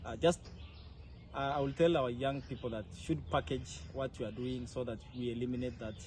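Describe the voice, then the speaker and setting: A man's voice: medium pitch, steady tone, moderate pace, darkish tone. Kenyan man, noisy ambient recording. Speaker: interviewee, leadership fellow. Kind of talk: informal interview answers. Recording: clear ambient background noise.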